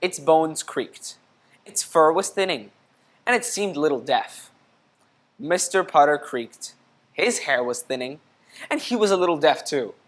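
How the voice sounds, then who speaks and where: A woman with a low-pitched voice reads a picture book aloud for children. She is expressive and teacherly, moving between narration and questions put to her listeners. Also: a little slow, long pauses. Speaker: storybook reader.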